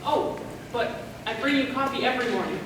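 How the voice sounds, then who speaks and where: Stage comedy role: self-pitying spinster sister in her fifties played by a young woman, higher voice, distant in a noisy hall. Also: brisk, natural voice. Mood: eager, fussy. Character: touchy, self-pitying unmarried sister of fifty-two. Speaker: actor in a school play. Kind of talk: live amateur stage comedy acting. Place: large indoor stage in an auditorium. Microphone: distant camera microphone. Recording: echoey auditorium from far off, noisy.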